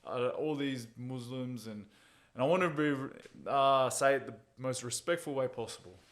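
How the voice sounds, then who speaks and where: A man speaking at an ordinary middle pitch, relaxed and chatty as he talks through his fights and his childhood. He tells his stories loosely and easily, chuckling now and then at his own jokes. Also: normal speed. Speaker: MMA fighter, interview guest. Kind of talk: casual interview chat.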